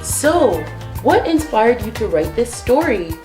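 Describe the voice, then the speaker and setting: A woman hosts a children's show with a very animated, exclamatory voice. She talks straight to her young viewers. The sound is echoey, with music clearly behind her. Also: fairly high pitch, takes it slowly. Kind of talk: upbeat children's show hosting. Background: background instrumental music.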